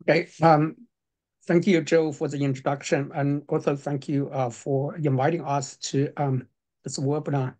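A man's voice: a little high, flat delivery, moderate pace, a little mellow. A male university researcher speaking politely and hesitantly in a meeting over a video call. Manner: polite, hesitant with many ums. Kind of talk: meeting remarks.

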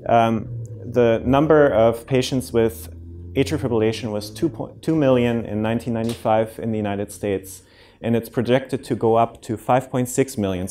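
A man's voice: mid-range voice, fairly even intonation, moderate pace, dark tone, slight background noise. A man in his thirties from Germany with a German accent, a cardiologist explaining a heart condition to a community audience in a lecture room, speaking into a handheld microphone, friendly and plain with many ums and restarts. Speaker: cardiologist giving a public health talk. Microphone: handheld and podium microphone.

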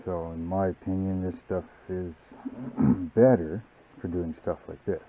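A man hosting a model-building channel, explaining his workbench steps in a relaxed, rambling way with many fillers. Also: deep voice, measured pace. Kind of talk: casual hobby tutorial narration. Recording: tinny, phone-like sound.

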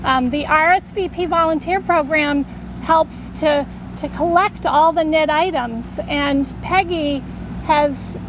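A woman giving a congratulatory speech at an outdoor podium, soft-spoken and halting, with restarts. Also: high-pitched, background noise. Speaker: volunteer program director. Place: outdoors at a podium in front of a brick building. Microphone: podium mic.

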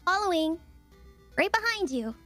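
A woman's voice: very high voice, very animated, moderate pace, bright, forceful tone, faint music behind. A woman chatting casually and delivering short voice lines as a performer.

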